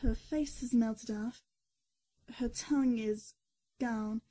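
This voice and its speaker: A woman playing a soft junior nurse in a horror audio drama, with a breathy, mellow voice. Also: fairly high pitch, steady tone, slow, rough recording. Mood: subdued, pitying. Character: soft, slow-spoken female junior nurse.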